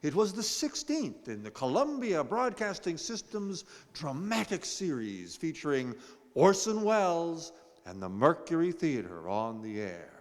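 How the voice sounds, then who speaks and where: A man playing a formal, courteous 1930s radio announcer and gentleman, speaking in a measured way. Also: fairly high pitch, sing-song. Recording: noisy echoing hall with audience.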